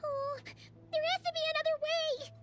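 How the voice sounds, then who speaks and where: A woman voicing a tiny, excitable, anxious childlike girl companion in a video game, with a squeaky voice. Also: moderate pace, very noisy. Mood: worried. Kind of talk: English video game voice acting. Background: background music.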